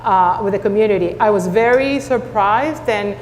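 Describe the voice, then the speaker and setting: A woman's voice: mid-range voice, moderate pace, darkish tone. Spanish-accented woman, echoey hall. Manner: earnest, many filler restarts. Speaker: school superintendent. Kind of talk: panel discussion. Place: conference hall. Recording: echoey hall with faint noise.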